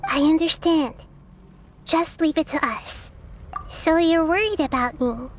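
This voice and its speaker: A woman voicing a small, wise, childlike girl deity in a video game, kind and composed, with a light youthful voice and measured delivery. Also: high voice, slight background noise. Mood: reassuring. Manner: measured, thoughtful, explanatory.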